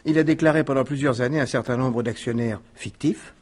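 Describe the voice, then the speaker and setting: Man acting as detective's assistant, husky voice, halting, modest, dryly amused, radio drama. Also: mid-range voice, darkish tone, close to an everyday voice. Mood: wry, amused. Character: deferential, dryly amused male police assistant. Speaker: actor in a radio mystery.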